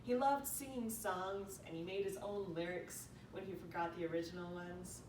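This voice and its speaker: A woman's voice: fairly even intonation, moderate pace. A woman reading a prepared eulogy aloud in a storytelling way. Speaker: eulogy reader. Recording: echoey room, distant mic, noisy rumble.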